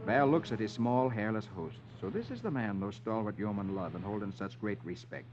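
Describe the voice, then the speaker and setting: A man's voice: on the low side, expressive, brisk, darkish tone, slight background noise. A man hosting a 1950s children's radio show, reading the comics aloud in a theatrical storyteller manner. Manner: theatrical, sing-song storyteller delivery. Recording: muffled old radio recording with music.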